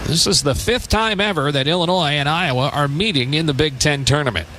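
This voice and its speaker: A man with a slightly high voice gives live radio baseball commentary. He is measured between pitches and ready to lift on the action. Clear outdoor ambient noise runs behind him. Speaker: baseball play-by-play announcer.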